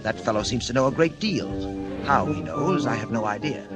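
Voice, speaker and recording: An actor playing a cold, imperious criminal mastermind in 1940s radio style, speaking formally and condescendingly. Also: male voice, mid-range voice, expressive, moderate pace, close to an everyday voice. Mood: stern, businesslike. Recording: old radio recording under loud music.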